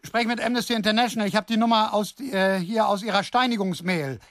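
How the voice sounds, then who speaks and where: An agitated, halting man, recorded dry. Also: sits high, fairly bright tone, dry recording. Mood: flustered. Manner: halting, blurting, insistent. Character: agitated, insistent, fumbling man desperate to help. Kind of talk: German radio drama acting.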